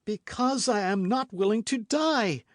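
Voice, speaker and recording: A man voicing a desperate condemned young woman who shrieks defiance and pleads for her life, high and strained. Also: unhurried, no echo, clean studio sound, lightly acted. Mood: pleading. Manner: shrill, cries out in high strained pleas.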